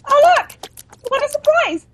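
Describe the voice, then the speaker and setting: A woman's voice: very high voice, very animated, measured pace, fairly bright tone, slight background noise, pitched above the performer's own voice, full character voice. A woman voicing a whiny, lovesick, overdramatic female assistant in an improvised comedy sketch. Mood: scheming, excited.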